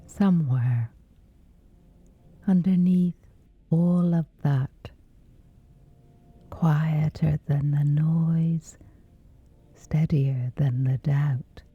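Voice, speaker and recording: Very slow, breathy whispering woman. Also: deep voice, no room sound, narrow, tinny audio. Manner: gentle, soothing, hushed with long pauses. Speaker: sleep meditation guide. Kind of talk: guided sleep meditation, scripted.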